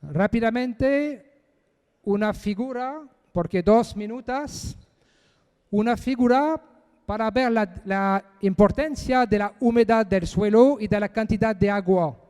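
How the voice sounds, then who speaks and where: Conference speaker, male, high and smooth voice, slow and halting as he works through a second language, echoey lecture hall. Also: a little mellow. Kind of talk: technical presentation.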